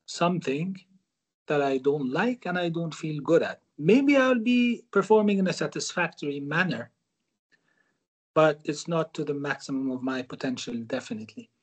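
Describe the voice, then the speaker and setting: A man, a guest reflecting on his career in an interview, often repeating words for emphasis. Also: fairly high pitch. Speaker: guest, former corporate manager. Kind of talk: storytelling interview answers.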